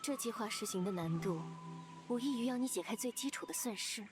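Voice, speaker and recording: A woman's voice: a little high, lively intonation, fairly quick, close to an everyday voice. Composed, confident game heroine voiced by a woman. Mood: calm, assured. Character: composed, confident female being trapped in darkness. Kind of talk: visual novel voice acting. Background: game music.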